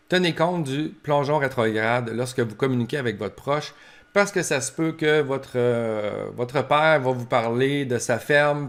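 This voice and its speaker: A man with a Québécois French accent giving an informal instructional talk as a professor, explaining in colloquial, practical language. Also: medium pitch. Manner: explanatory, colloquial, acts out short examples. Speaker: professor giving advice to family caregivers.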